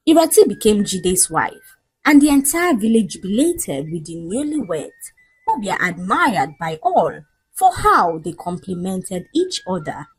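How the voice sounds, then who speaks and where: A woman storyteller reads a scripted folktale with a very lively, swooping intonation. Her voice sits at a medium pitch and has a husky edge. The delivery is dramatic narration. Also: low-quality recording.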